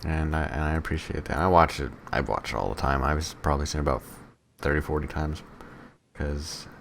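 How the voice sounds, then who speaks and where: A man's voice: extremely low pitch, a little fast, creaky, a bit bright, a little hiss or hum. A man co-hosting a podcast, chatting casually with strong opinions and frequent swearing. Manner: crude, swearing. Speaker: podcast co-host.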